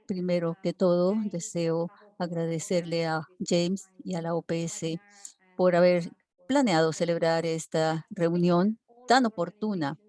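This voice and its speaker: A woman working as a simultaneous interpreter for an online seminar. She keeps a steady delivery, broken by frequent hesitation fillers. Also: lowish voice. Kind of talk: live interpretation for a webinar.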